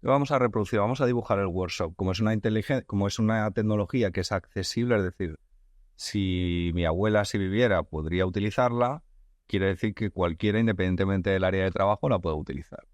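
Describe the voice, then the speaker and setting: Low-voiced man interviewing. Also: quick pace, no room sound. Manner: thinks aloud, frequent restarts and repeated words. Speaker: podcast host. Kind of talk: conversational interview.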